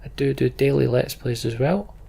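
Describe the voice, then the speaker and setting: A man's voice: mid-range voice, expressive, normal speed, creaky, dark tone, husky. A British man giving casual, wry game commentary as a let's play host, muttering to himself as he goes. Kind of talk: casual game commentary, reading on-screen story aloud. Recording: game music behind the voice. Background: video game music.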